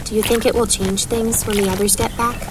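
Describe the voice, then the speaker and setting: A woman's voice: a little high, level tone, a little fast, close to an everyday voice. Earnest young female mage over noisy ambience, actress. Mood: hopeful, challenging.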